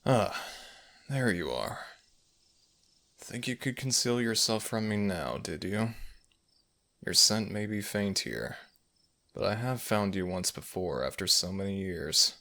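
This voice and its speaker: A man voicing a possessive, protective male fox spirit in an intimate ASMR audio roleplay, brooding and gravelly, with old-fashioned formal speech. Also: on the low side, expressive, measured pace, long pauses, pushed and bright, heavily acted. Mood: brooding, longing. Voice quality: gravelly, breathy at times.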